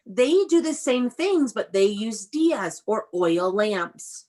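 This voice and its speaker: A woman's voice: fairly high pitch, very animated, takes it slowly, darkish tone. A woman teacher reading aloud to young children in an interactive video-call lesson, instructing and prompting with questions and repetition. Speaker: elementary school teacher.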